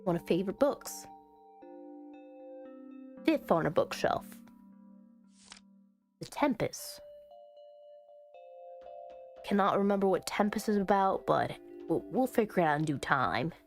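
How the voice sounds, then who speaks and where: A woman chatting expressively and thinking out loud as she plays through a game and reads its text. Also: mid-range voice, lively intonation, measured pace, long pauses. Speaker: game streamer. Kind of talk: casual let's-play commentary, reading game text aloud. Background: background music.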